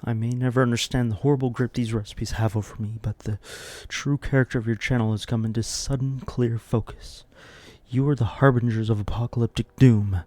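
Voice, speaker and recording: A man playing a tormented, doom-haunted soul who raves melodramatically in archaic words, his voice husky and strained. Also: medium pitch, fairly even intonation, a little fast, warm tone, no room sound, lightly acted. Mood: anguished, accusing. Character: tormented, doom-haunted man raving against cursed recipes.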